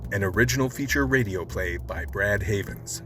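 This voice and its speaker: A man's voice: fairly low pitch, moderate pace, over music. A man reading a scripted title announcement as an announcer.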